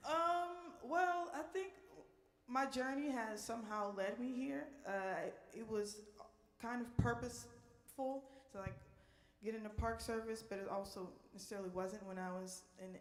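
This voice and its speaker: A woman's voice: on the high side, measured pace. An American woman hosting a live question-and-answer session as a singer, talking casually to an audience. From New Orleans, USA. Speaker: singer and park ranger hosting a concert Q&A. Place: indoor museum performance space. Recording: echoey room, live event microphone.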